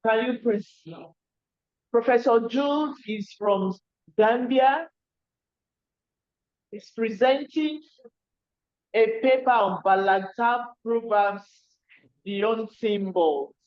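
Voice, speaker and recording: A session chair speaks slowly and hesitantly, pausing on frequent fillers. The recording is dull and lo-fi, like a room mic at a conference. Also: medium pitch.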